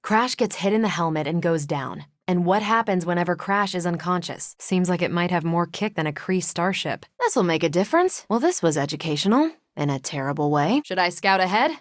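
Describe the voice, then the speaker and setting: A woman's voice: fairly low pitch, expressive, a little fast, few pauses, no room sound, clean studio sound, close to an everyday voice. A woman voicing a confident, wry young superhero soldier with an American accent, in casual, self-assured video game banter.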